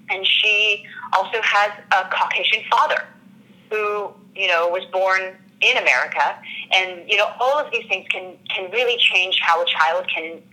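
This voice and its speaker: 밝고 또렷한 목소리의 여배우, 진지한 인터뷰. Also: female voice, mid-range voice, bright, forceful tone, low-quality recording. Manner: earnest and reflective, searching for words. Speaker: actress discussing her role. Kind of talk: interview answers.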